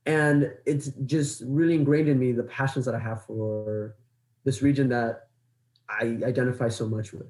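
A young man in his twenties co-hosts a podcast, speaking in an earnest, measured way. His voice is warm and dark in tone, and it is recorded in a noticeably echoey room. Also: medium pitch, steady tone. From Ecuador, raised in Los Angeles. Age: 20s. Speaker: podcast co-host. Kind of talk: scripted intro then casual chat.

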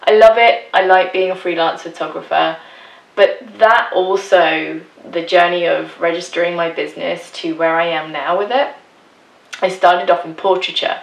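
A woman's voice: lowish voice, fairly even intonation, moderate pace, vocal fry. An English woman telling her own story as a podcast guest, chatty and laughing often between phrases. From England. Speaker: guest, freelance photographer. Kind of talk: casual personal storytelling. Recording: roomy, distant mic.

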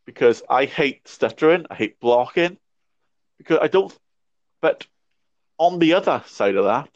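A man's voice: fairly high pitch, animated, unhurried, dry recording. Reflective young man with a stammer. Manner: reflective, talks with a stammer and restarts. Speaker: podcast guest. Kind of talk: casual conversation. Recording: dry muffled lo-fi audio.

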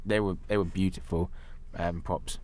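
Low-voiced man chatting about films. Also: fairly even intonation, normal speed, a little mellow. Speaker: podcast co-host. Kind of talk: casual film discussion chat.

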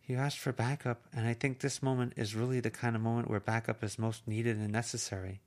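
A man's voice: mid-range voice, little pitch movement, brisk, voice pushed up, natural voice. A man reading an amateur audiobook, voicing an anxious, earnest teenage girl who pleads hesitantly. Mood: worried.

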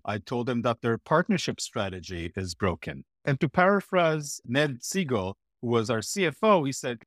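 A middle-aged man from Israel, a podcast guest telling a long story in a blunt, animated way. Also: medium pitch, dry recording, studio quality. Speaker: podcast guest, former platform executive. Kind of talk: interview answer.

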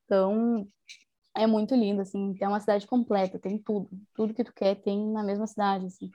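A woman's voice: mid-range voice, flat delivery, fairly quick, mellow, dull audio. A young woman talking casually as an interviewee, chatty and enthusiastic, with many small fillers. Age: young adult. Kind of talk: casual conversation.

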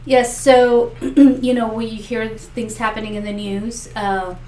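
A woman's voice: a little high, little pitch movement, slow pace, warm tone. A woman whose first language is Spanish, answering questions as a political candidate, earnest and full of fillers and restarts. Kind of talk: interview answers. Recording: echoey room, mic far off, faint noise.

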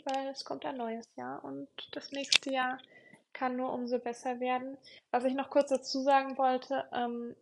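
A woman's voice: a little high, creaky. A young woman hosting her own podcast, chatting casually and reflectively, with many fillers and repeated words for emphasis. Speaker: podcast host.

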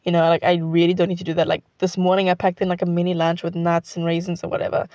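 A woman's voice: lowish voice, completely flat delivery, quick pace, a little mellow, dry recording, muffled recording. A teenage girl from South Africa with a South African accent, Xhosa her first language, chatting casually in a rambling vlog full of "like". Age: teens. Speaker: student vlogger.

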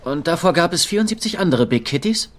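An actor playing a cocky, playful young fake psychic detective with mock drama in a dubbed comedy crime series. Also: male voice, on the high side, animated, quick pace, a bit bright. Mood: teasing.

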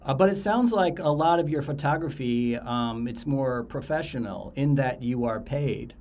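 A man's voice: medium pitch, moderate pace, a little mellow. A man hosting a podcast, chatting casually with guests and often repeating words as he restarts. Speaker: podcast host.

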